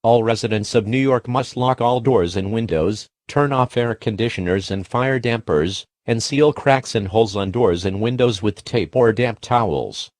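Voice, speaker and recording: A man's voice: fairly low pitch, moderate pace, hardly any pauses, a little mellow, no echo, studio quality. A man reading a scripted emergency alert as an announcer, on a very noisy broadcast recording.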